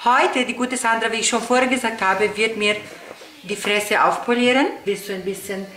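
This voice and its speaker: A woman's voice: fairly high pitch, normal speed. A woman in her thirties vlogging to a handheld camera, brash and sarcastic with crude jokes. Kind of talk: brash comic vlog chat. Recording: echoey room with faint noise.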